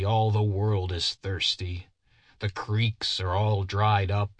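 Guy doing a weak-headed ragged preacher man, earnest biblical pleading, low and slow. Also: dry recording. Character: haggard simple-minded man, fervent doom preacher.

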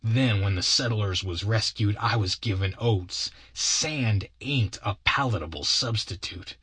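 A man's voice: lowish voice, measured pace, a bit bright, husky, dry recording, full character voice. A man voicing a harried, aggrieved rustic crook with pompous yet folksy speech in an audiobook. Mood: worn out. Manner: aggrieved, mock-grandiose wording, folksy grammar. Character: harried, put-upon grown man, small-time rustic crook. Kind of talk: audiobook character voice.

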